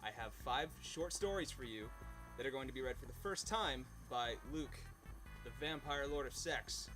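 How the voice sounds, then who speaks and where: A man hosting, introducing a reading in a playful mock-grand, excited and sweary way. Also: medium pitch, moderate pace, rough recording. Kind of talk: playful scripted-sounding intro and casual chat. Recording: music playing behind the voice. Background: music.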